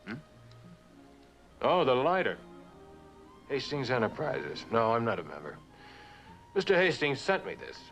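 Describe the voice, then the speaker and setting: An actor playing a determined, sardonic traveler in a suspense drama, speaking in clipped, insistent, dryly sarcastic lines. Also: male voice, medium pitch, very animated, measured pace, vocal fry, pauses often, a bit bright, soft background music, exaggerated voice. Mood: dry, guarded. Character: determined, sardonic male traveler pressing for answers.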